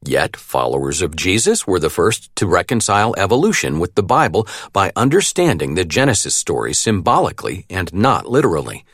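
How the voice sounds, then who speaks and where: A man reading a nonfiction audiobook as narrator, with a measured, articulate delivery. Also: low voice, sing-song, fairly bright tone, slightly rough, no room sound, studio quality.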